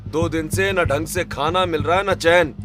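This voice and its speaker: A man's voice: fairly high pitch, fairly even intonation, normal speed, over music, close to an everyday voice. A man voicing a weary, hungry young farmer brother who complains earnestly in a cartoon story. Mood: dejected. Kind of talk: Hindi cartoon story dialogue.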